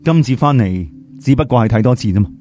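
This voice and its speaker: A man's voice: medium pitch, animated, fast, warm tone, soft background music, voice pushed down, natural voice. A man voicing a confident, blunt jade gambler in a story, with a Cantonese accent and self-assured manner.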